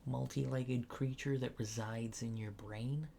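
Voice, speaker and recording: A man doing a softly spoken, often whispered ASMR roleplay as a mild-mannered alien space agent, murmuring hesitantly and casually. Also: mid-range voice, steady tone, a little slow, gravelly, a little hiss or hum. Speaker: ASMR creator playing an alien abductor.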